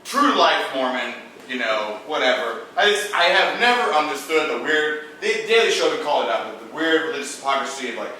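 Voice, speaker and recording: A man's voice: medium pitch, lively intonation, a little fast, few pauses, sharp tone. A young man telling a rambling, profane story between drinks with friends in a casual chat. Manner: rambling, profane, tipsy, laughing asides. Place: room indoors with friends. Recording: very echoey room, mic far off, faint noise.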